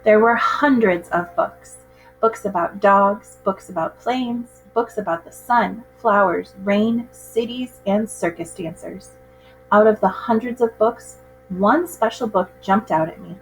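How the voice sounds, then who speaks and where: A woman reading a picture book aloud to children in an expressive, measured storytelling style. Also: mid-range voice, soft background music, poor audio.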